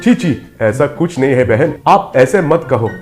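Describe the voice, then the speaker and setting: A man's voice: medium pitch, lively intonation, unhurried, a little mellow, soft background music, lightly acted. A man playing a polite, trusting village family man in a comic story, earnest and pleading in manner. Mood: apologetic.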